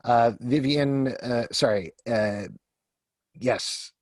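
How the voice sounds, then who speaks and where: A man's voice: mid-range voice, drawn-out words, darkish tone, no room sound. A man moderating a casual fan Q&A, reading out questions and passing them to panelists.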